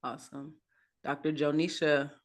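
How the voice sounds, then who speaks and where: A woman's voice: deep voice, flat delivery, moderate pace. Woman host on a video call, upbeat, keeps saying "now". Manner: upbeat, starts sentences with "now". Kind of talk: hosting a video call panel.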